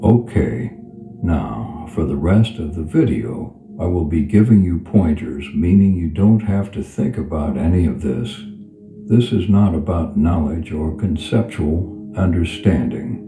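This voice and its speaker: Very deep, slow male meditation guide. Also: animated, dark tone. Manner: soothing, unhurried, with long pauses. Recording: reverberant voice over clear background music. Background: background music.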